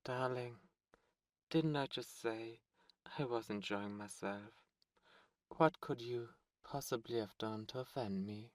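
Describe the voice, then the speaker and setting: A man's voice: medium pitch, slow, no echo, clean studio sound, close to an everyday voice. A man playing a flirtatious, imperious demon prince in an intimate ASMR roleplay, with theatrical, courtly phrasing. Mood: amused, flattering. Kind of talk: ASMR roleplay audio drama, intimate close delivery.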